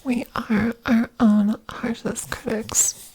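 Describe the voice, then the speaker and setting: A woman whispering in an earnest ASMR talk, breathy, with giggly asides. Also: on the high side, flat delivery, takes it slowly, mellow, low-quality recording. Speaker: ASMR creator.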